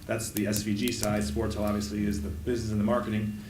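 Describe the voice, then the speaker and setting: A man's voice: fairly low pitch, quick pace, slight background noise. A man moderating a conference panel, speaking casually with many fillers. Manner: relaxed, many fillers, thinks aloud. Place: conference room at a trade event. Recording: roomy echo, mic from a distance, faint ambience.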